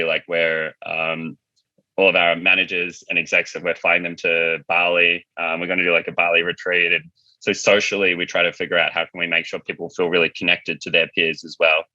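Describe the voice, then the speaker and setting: A low-pitched man speaks in a forceful, very bright voice. He chats informally as an interview guest, on a dry but slightly dull recording. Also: no room sound. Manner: informal, conversational, frequent "like" and "you know". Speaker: company founder being interviewed. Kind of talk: casual interview talk.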